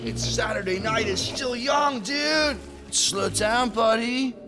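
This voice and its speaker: A cheery young party dude in an audio drama, high-pitched and slangy. He draws his words out slowly over loud music. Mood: amused, friendly. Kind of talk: science fiction audio drama acting.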